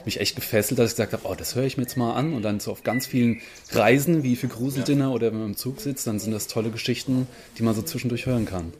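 A man's voice: medium pitch, fast, hardly any pauses, darkish tone. A man, a stage actor, talking casually as a guest in an interview.

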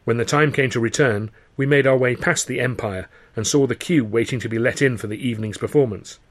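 A man's voice: mid-range voice, brisk. A man narrating his own comic novel as an audiobook, in a wry storytelling manner. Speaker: author and narrator.

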